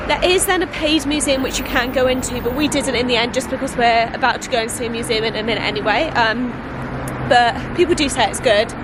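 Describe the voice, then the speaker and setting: Woman travel vlogger, stuffy-nosed from a cold, casual and chatty, slightly high voice, recorded outdoors with street noise. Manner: chatty, with filler words and laughs. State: congested from a cold. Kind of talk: casual travel vlog chat.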